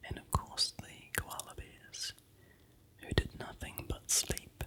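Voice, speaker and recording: A vlogger from New Zealand with a New Zealand accent, softly narrating a travel slideshow in a steady, unhurried whisper. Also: low-quality recording. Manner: soft, unhurried whisper throughout.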